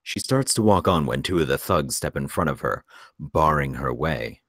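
A man with an extremely deep voice reads screenplay action lines as a narrator. The sound is close and dry, in a scripted table-read style. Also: animated, moderate pace, a bit bright, no echo. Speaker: narrator reading stage directions.

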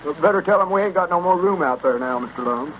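An actor playing a simple, put-upon young store helper with a rural Southern accent, folksy and plaintive, in old-time radio comedy. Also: male voice, high voice, lively intonation, quick pace. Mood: earnest. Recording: tinny, noisy old radio recording.

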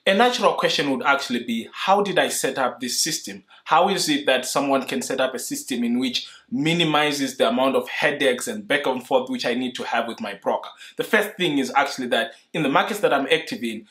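A young man from Zimbabwe, explaining his investing approach to camera in an earnest, measured way, seated indoors. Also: medium pitch, a bit bright. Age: young adult. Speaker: investing YouTuber. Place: indoor room in front of a leather sofa.

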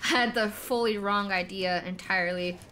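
A young woman streaming a game, with a very high-pitched, childlike voice, telling a rambling, self-deprecating story with laughs and swearing. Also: steady tone, normal speed, heavy background noise. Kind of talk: casual gaming stream chat.

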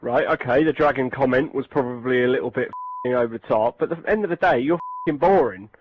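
A man's voice: mid-range voice, fast talker, highs cut off, slight background noise. A man ranting angrily at someone online, swearing and hurling insults. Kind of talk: profane angry rant.

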